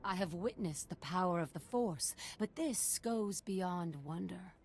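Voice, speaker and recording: A woman's voice: fairly low pitch, a little mellow, slight background noise. A woman voicing an eccentric, deferential desert guide in a video game, formal and mysterious as she tells her tale. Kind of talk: scripted video game acting.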